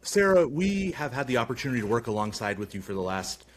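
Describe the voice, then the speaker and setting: A man's voice: mid-range voice, sing-song, quick pace, a little hiss or hum. A man hosting a podcast interview, asking long, rambling questions full of fillers. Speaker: podcast host, physician.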